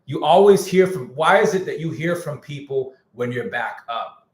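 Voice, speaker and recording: A man's voice: on the high side, expressive, moderate pace, echoey room. A man who produces music, talking casually on a live stream, slangy and candid, saying he is losing his voice. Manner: candid, slangy, repeats himself. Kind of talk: casual livestream rant.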